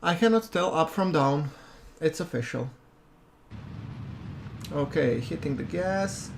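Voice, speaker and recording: A man giving relaxed, chatty commentary over a space-game playthrough, with a soothing voice and self-deprecating asides. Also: on the high side, slow, gravelly, a little hiss or hum. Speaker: gameplay commentator. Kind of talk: casual let's-play commentary.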